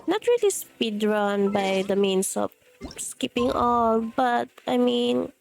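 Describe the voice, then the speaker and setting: A woman's voice: fairly high pitch, slow, gravelly, soft background music. A woman from the Philippines with a Filipino accent, whose first language is Tagalog, giving rambling gameplay commentary alone in her room, with a voice still recovering, many fillers and self-corrections. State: voice recovering after being lost. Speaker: game streamer.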